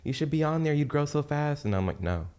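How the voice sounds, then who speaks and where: A man's voice: on the high side, expressive, fast, darkish tone, smooth. A young man from the Chicago area, raised near Nashville, chatting as a friendly, laid-back podcast guest. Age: young adult. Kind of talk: casual interview chat.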